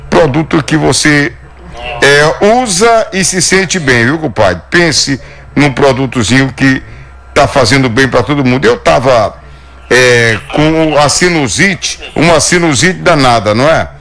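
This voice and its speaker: A man hosting a live show, pitching a product in a folksy, chatty, sing-song patter. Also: measured pace, creaky, fairly bright tone. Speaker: show host.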